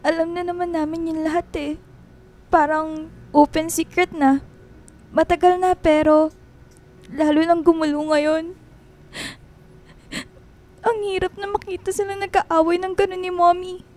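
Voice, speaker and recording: A woman's voice: extremely high pitch, little pitch movement, measured pace, pauses often, darkish tone. A woman playing a young, vulnerable woman confiding in her friend in a Filipino radio drama. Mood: tearful, hurt.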